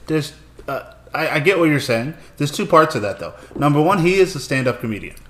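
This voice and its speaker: A man with a mid-pitched voice makes careful, hedging points, filling his talk with "you know" and "I mean." His voice rings in an echoey room. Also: a bit bright. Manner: measured, hedging, many fillers. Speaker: podcast co-host. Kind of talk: casual chat.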